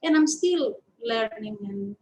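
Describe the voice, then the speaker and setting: A woman's voice: fairly high pitch, lively intonation, takes it slowly, darkish tone, rough recording. A woman in her fifties with a Greek accent, telling her story as an interview guest in a thoughtful, hesitant way. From Greece. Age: 50s. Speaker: interview guest, shiatsu practitioner and teacher.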